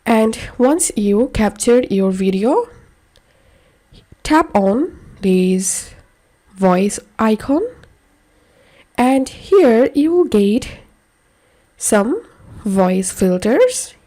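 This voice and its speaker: Slow, mellow woman narrating a tutorial. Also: a little high, big pitch swings. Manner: simple step-by-step instruction. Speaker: tutorial presenter. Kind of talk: scripted how-to narration.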